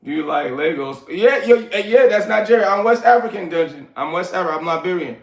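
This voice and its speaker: A man, hosting a casual livestream, giving hyped shout-outs to viewers and rambling in streetwise slang. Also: high voice, lively intonation, brisk. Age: 40s. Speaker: livestream host. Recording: echoey room.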